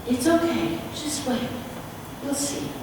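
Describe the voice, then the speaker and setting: A woman voicing a calm, gentle male stranger who speaks softly and reassuringly, recorded from a distance in an echoey hall. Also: mid-range voice, very slow, a little hiss or hum, full character voice. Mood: soothing. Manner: soft, soothing, reassuring.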